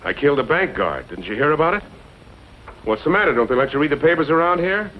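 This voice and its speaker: An actor playing a boastful, cold-blooded outlaw in an old western, talking in slangy, menacing lines. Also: male voice, fairly high pitch, big pitch swings, quick pace. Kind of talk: 1940s western film acting. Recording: dull, noisy old film soundtrack.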